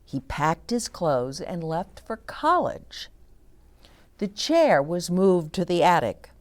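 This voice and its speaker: A woman's voice: on the low side, sing-song, slow pace. A woman reading a children's storybook aloud as a story time host, with short explanatory asides to young listeners.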